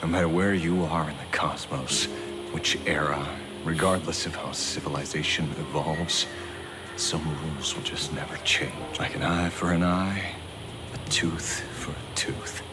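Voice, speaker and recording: An actor playing a famous detective in a video game, theatrical and brooding yet awkwardly polite. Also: male voice, extremely low pitch, fairly even intonation, unhurried, background noise. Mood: brooding, resolute. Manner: theatrical, hesitant stammering when flustered, sighing. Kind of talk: dramatic game voice acting. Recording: music behind the voice.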